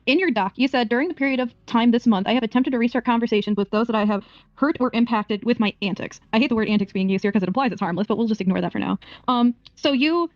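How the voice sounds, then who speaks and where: A woman on a dry, dull-sounding voice call, speaking fast in a slightly high voice. She presses her questions, repeating and restarting her phrases as she pins down details. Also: no room sound. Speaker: questioner on a call-in stream panel.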